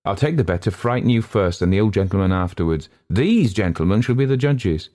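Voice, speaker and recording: A man voicing an eager, boastful gentleman amateur actor with a theatrical, cocky manner in a storybook reading. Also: fairly low pitch, animated, brisk, pitched above the performer's own voice, natural voice. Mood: cocky, sharp.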